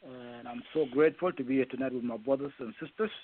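A middle-aged man from South Sudan with a South Sudanese accent, a non-native English speaker giving a halting, earnest personal account as a radio interview guest. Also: mid-range voice, fairly even intonation, husky. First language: not English. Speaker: guest, presidential candidate. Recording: muffled phone-in line.

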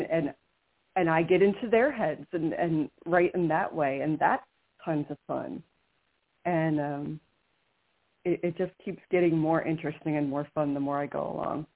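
A woman's voice: low-pitched, normal speed. An American woman, a radio show guest, chatting enthusiastically with frequent restarts. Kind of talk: casual radio chat. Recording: phone-like lo-fi line.

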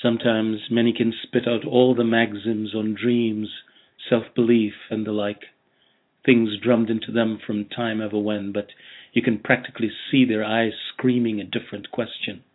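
Male interview host, measured and reflective, murmurs encouragement; recorded over a tinny phone-like line. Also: lowish voice, level tone. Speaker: podcast host. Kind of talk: interview, scripted intro then chat.

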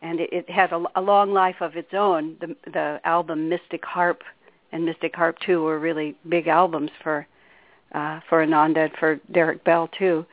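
Calm older woman guest over a phone line. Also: deep voice, no echo. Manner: calm, articulate, measured storytelling. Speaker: spiritual teacher, guest. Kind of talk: radio interview. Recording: dry, tinny phone line.

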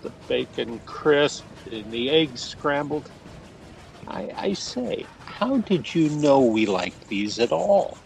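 Man playing a slow-talking, teasing older husband. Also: fairly high pitch, sing-song, vocal fry, slight background noise, exaggerated voice. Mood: pleased. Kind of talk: audio fantasy drama acting.

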